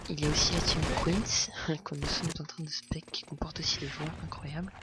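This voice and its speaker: A man's voice: on the high side, steady tone, moderate pace. A man giving a casual, hesitant voice-over commentary on a game video, with many fillers and restarts. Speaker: gameplay commentator. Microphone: home voice recording, self-described as not the best setup. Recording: noisy recording with game gunfire behind. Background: game sounds and gunfire.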